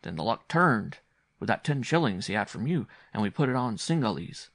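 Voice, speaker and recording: Storybook manservant, played by a male reader: deferential, reverent, confiding, with a creaky edge. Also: lowish voice, sing-song, moderate pace, fairly bright tone, no room sound, full character voice. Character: deferential male servant, devoted betting partner.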